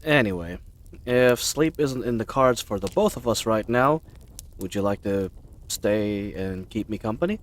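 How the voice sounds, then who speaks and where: A man's voice: fairly low pitch, lively intonation, measured pace. A man playing a bumbling, kind-hearted adventuring knight in a roleplay audio drama, rambling to the listener in a hesitant, awkwardly earnest way. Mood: gentle, inviting.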